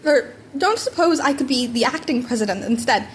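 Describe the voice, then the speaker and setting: A woman's voice: high voice, animated, brisk. A woman voicing a formal, earnest, easily appalled teenage schoolboy in an amateur audiobook. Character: prim, formal, easily appalled teenage boy class stickler. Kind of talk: amateur audiobook character reading. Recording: home recording with background noise.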